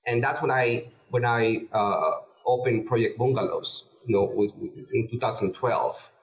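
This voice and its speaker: A middle-aged man answers interview questions casually, stumbling through restarts and fillers. His voice sits at a medium pitch. The recording has a roomy, echoey sound. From Peru. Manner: halting, many restarts and fillers. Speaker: guest real estate investor.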